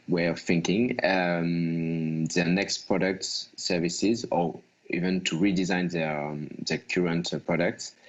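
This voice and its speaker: A man with a very deep voice speaks as a panelist and company founder. He explains his work informally and haltingly, often searching for words, over slightly muffled audio.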